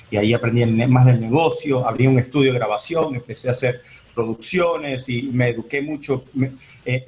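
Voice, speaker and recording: A man in his forties, born in Venezuela and raised in the United States, answering interview questions in a chatty way with many restarts over a video call. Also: mid-range voice. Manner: chatty, many fillers and restarts, gestures while talking. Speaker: entertainment attorney, guest. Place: home office on video call.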